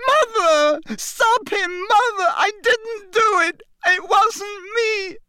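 A man's voice: extremely high pitch, lively intonation, very slow, bright, forceful tone, dry recording, clean studio sound, pitched above the performer's own voice, heavily acted. A man reading aloud voices a terrified teenage boy who shrieks and pleads through tears. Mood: screaming. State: crying. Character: terrified teenage boy begging his father.

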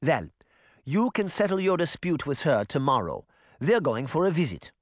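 A man plays an elderly storybook grandmother, firm and protective of family. The voice is lifted well above a man's usual range, insistent and plain-spoken, with lively rises and falls in a dry recording. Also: fairly high pitch, normal speed, dry recording, pitched above the performer's own voice. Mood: calming, resolute.

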